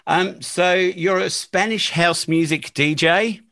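A man hosting a podcast interview, speaking slowly and hesitantly with many fillers. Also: high voice, gravelly, sharp tone. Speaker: podcast host. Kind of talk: casual interview.

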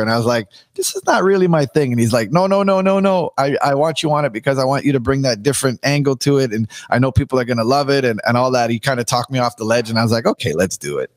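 Man from Canada, DJ guest, raspy voice, eager storyteller, dry close mic. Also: medium pitch, dry recording. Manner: enthusiastic, animated storytelling. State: raspy from long streams. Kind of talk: candid podcast conversation.

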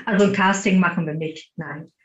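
A woman's voice: fairly low pitch, steady tone, brisk. A woman answering interview questions conversationally as a podcast guest, in a roomy and slightly muffled recording. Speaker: podcast guest, team founder. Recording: roomy, slightly dull sound.

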